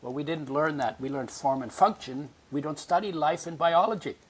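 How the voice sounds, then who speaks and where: Older man, animated storyteller. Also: fairly high pitch, normal speed, husky. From Poland. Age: 80s. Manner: animated storyteller, acts out remembered voices. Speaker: guest. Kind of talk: storytelling in a podcast interview.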